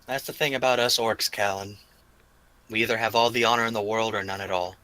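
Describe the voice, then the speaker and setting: A man's voice: fairly low pitch, fairly even intonation, brisk, pushed and bright, no echo. A man voicing a proud, honor-bound orc warrior in a tabletop game, speaking formally and boastfully. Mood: solemn.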